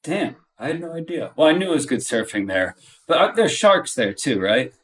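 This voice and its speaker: A man's voice: on the high side, big pitch swings, normal speed, fairly bright tone. Informal male podcast host, slightly distant mic. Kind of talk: casual interview chat.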